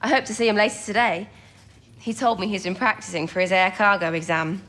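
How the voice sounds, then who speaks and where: A young woman playing a bright, affectionate twenty-year-old codebreaker in a period wartime radio drama. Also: medium pitch, fairly quick, close to an everyday voice. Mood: playful, pleading. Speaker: actor in an audio drama.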